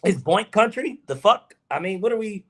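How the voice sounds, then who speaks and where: A man's voice: high voice, expressive, normal speed, a bit bright. A man from Georgia with a Southern accent, co-hosting a casual sports podcast, talking emphatically and swearing freely. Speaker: podcast co-host.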